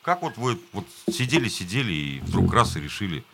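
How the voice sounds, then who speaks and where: A man's voice: sits low, sing-song, brisk, gravelly, sharp tone, a little hiss or hum. A male host casually interviewing band members, asking joking questions.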